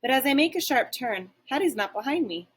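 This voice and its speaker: Animated, husky-edged woman reading a storybook. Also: sits high, a little fast. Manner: dramatic, varied emphasis. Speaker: teacher reading a book aloud. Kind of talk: expressive children's storybook narration.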